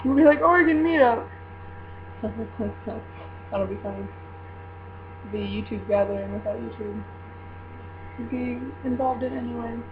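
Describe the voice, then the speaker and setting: A woman's voice: on the high side, a little slow, long pauses, phone-quality sound. Rambling young woman over mains hum. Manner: rambling, filler-heavy planning talk. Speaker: vlogger. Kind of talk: casual chat.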